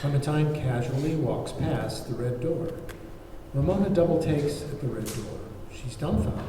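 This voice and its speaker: A man's voice: a little high, lively intonation, measured pace. A man narrating, reading out the stage directions of a script at a table read. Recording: very echoey room, faint background noise.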